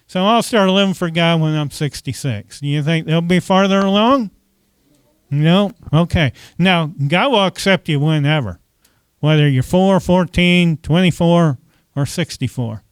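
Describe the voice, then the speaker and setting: A man's voice: a little high, clear, resonant tone, dry recording. A man preaching a conversational sermon as pastor, often asking the congregation questions and saying "okay" between points.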